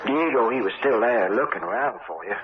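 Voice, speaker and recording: An actor playing a steady, folksy, matter-of-fact fighter who is second in command in a 1950s radio drama. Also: male voice, medium pitch, fairly quick, natural voice. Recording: muffled, noisy, echoey 1950s radio recording.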